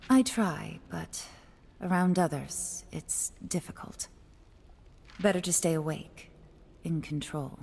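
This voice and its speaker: Young fox spirit, low, mellow, breathy, soft-spoken, wistful, guarded, fantasy game. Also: female voice, slow, full character voice. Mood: wistful, troubled. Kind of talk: cinematic fantasy game acting.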